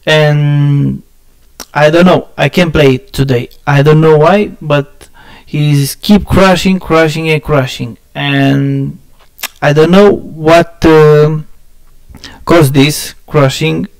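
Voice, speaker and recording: A man from Romania with a Romanian accent chatting casually with viewers on a game stream, halting and searching for words, often laughing at himself. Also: a little high, steady tone, vocal fry, smooth. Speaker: gaming streamer.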